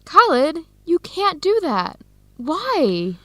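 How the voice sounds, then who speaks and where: An actress playing a troubled, earnest young American scholar in a radio drama for English learners. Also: female voice, very high-pitched, wildly swooping pitch, extremely slow, pushed and bright, no room sound, exaggerated voice. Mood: alarmed, disapproving. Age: young adult.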